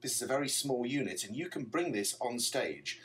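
A man's voice: medium pitch, animated, moderate pace, a bit bright, slightly rough. A man giving an explanatory product demonstration, walking through a setup step by step. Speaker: tutorial presenter, stage magician.

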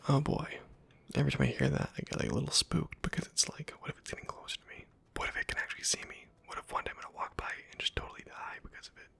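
A man softly whispering casual, rambling ASMR commentary over a video game, with a breathy, husky voice. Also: mid-range voice, fast. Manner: soft-spoken, rambling to himself. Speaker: ASMR gaming host.

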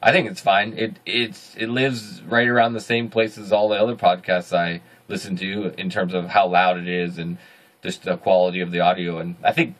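A man in his thirties with a deep voice, hosting a casual video diary from a small home studio, rambling and correcting himself as he goes. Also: level tone, normal speed, fairly bright tone, poor audio. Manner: rambling, self-correcting, upbeat. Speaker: video journal host.

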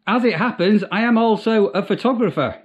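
A British man in his fifties chatting casually in a dry, deadpan way, recorded at arm's length in a room at home. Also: high voice, a little fast, a crowd in the background. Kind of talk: casual prank phone chat. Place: small domestic room. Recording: slightly dull, lo-fi home recording.

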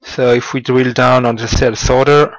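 A man giving a slow, halting walkthrough of business software over a screen recording, with small grammar slips. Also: medium pitch, level tone, no echo, poor audio. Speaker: software demonstrator.

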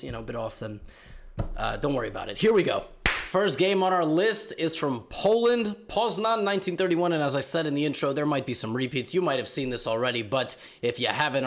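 A young man with a slightly hoarse voice from being sick, giving animated, joking commentary on chess games in a small room. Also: medium pitch. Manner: animated, joking, hesitant fillers. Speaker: chess video host.

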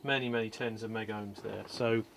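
A man calmly explaining an electronics repair at his workshop bench, step by step, with frequent ums and uhs. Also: lowish voice, moderate pace, vocal fry, slight background noise. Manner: calm, methodical, many ums and uhs. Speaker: electronics restorer. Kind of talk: technical repair walkthrough.

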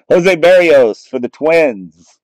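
Man, casual card-break commentary, slow unhurried delivery, dry close sound, camera mic at a table. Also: fairly high pitch, no echo, rough recording. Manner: relaxed, chatty, reading out names and teams as he goes. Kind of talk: casual commentary while opening trading card packs. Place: small room at a table, off camera.

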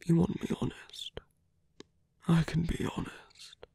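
A man voicing a seductive, possessive villain in an intimate ASMR roleplay, coaxing slowly in a breathy whisper. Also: mellow, no echo, studio quality, close to an everyday voice. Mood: tender persuasion.

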